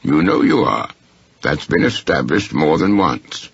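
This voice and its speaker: Man doing a pushy blunt club guy in a story reading, raspy and animated, bit dull recording. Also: rumbling low, measured pace, no room sound, exaggerated voice. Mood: insistent. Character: blunt, challenging male dinner-club member.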